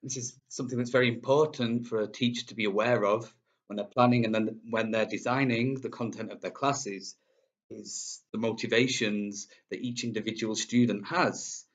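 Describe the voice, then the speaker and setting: A man's voice: lowish voice, fairly even intonation, normal speed. A young man from Manchester introduces himself as a teacher. He speaks earnestly and unhurriedly, working out his phrasing as he goes. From Manchester, England. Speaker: English teacher. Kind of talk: self-introduction to camera. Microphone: built-in webcam microphone. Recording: dull lo-fi webcam audio.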